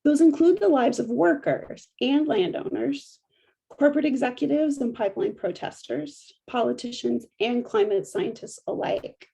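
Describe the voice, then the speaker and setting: A middle-aged woman professor giving a measured lecture, her voice a little affected by allergies, with frequent small restarts. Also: fairly high pitch, creaky, dark tone, husky, poor audio.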